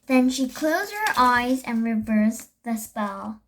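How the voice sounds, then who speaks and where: A very young-sounding reader with a high voice reads a children's chapter book aloud, stumbling over words and correcting them. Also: very animated, takes it slowly, a bit bright, a little hiss or hum. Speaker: storybook reader.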